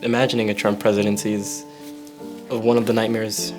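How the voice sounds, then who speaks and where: A young man shares a reflective personal account. His voice keeps an even, flat melody. Guitar music plays clearly behind him. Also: mid-range voice, a little fast.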